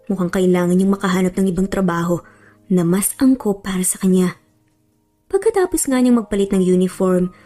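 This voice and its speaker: A woman narrates a romance tale in a chatty, colloquial style. Her voice is mellow and dark at a middling pitch, with light music playing underneath. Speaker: storyteller narrator. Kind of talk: scripted romance story narration.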